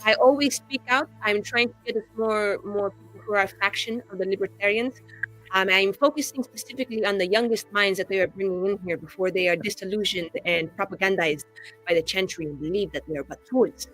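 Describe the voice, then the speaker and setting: A woman's voice: mid-range voice, fairly quick, a bit bright, faint music behind, close to an everyday voice, rough recording. A woman playing a brash, grandiose young female mage revolutionary in a tabletop game, theatrical and slangy. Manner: theatrical, self-important, casual slangy phrasing.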